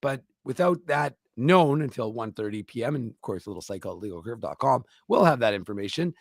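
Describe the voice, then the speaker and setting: A man's voice: medium pitch, lively intonation, fairly quick, gravelly, dry recording, studio quality. A man co-hosting a hockey talk show, giving his opinions in casual talk full of restarts and repeated words.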